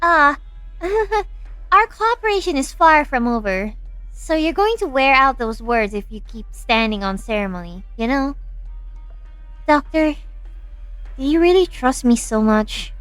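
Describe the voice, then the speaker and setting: A woman playing a sassy, anxious young lab director in an expressive, flippant fan-dub style. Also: high-pitched, unhurried, long pauses, dry recording, faint music behind, full character voice, rough recording. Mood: breezy. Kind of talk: fan-dub game drama acting.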